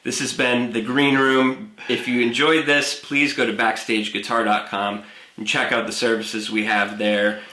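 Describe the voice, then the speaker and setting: A man's voice: medium pitch, normal speed. A man hosting a music interview series, asking questions and chiming in with short affirmations. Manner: relaxed, affirming listener. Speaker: interview host. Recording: echoey room.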